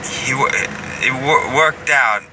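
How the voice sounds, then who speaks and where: Slow, halting man over loud background noise. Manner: halting, repeats and restarts phrases. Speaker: vlogger. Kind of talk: improvised, rambling spoken storytelling. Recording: distant mic, loud ambient rumble.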